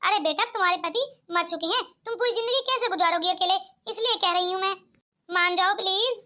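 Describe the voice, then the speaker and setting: A woman's voice: extremely high pitch, fairly quick, pushed and bright. A woman voicing a kindly, coaxing middle-aged mother in an amateur cartoon comedy skit.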